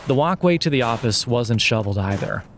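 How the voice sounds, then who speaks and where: A man reads a scripted satirical story as a podcast narrator, measured and deliberate, with wry irony. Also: medium pitch, lively intonation, slight background noise. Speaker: narrator of an audio fiction podcast.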